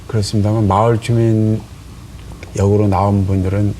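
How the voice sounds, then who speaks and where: A man, a folk singer guest on a studio talk show, speaking haltingly with many pauses and fillers. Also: lowish voice, flat delivery, warm tone, slight background noise, low-quality recording.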